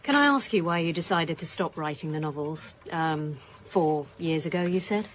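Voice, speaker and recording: A woman's voice: low voice, moderate pace, natural voice. A woman playing a police detective inspector in a radio drama, direct, probing and tactful, speaking in a matter-of-fact way. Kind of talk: naturalistic radio drama dialogue. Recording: clear background noise, vehicle ambience.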